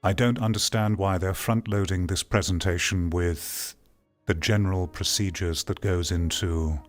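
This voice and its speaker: A man's voice: low voice, steady tone, moderate pace, faint music behind. A British man giving casual, critical reaction commentary in a blunt, sardonic manner.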